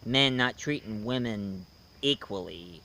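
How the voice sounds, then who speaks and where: A man hosting a casual, unscripted review, talking in a halting, rambling storyteller's way. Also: lowish voice, expressive, sharp tone. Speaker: host, film and book reviewer. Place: outdoors. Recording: noisy outdoor recording with insects.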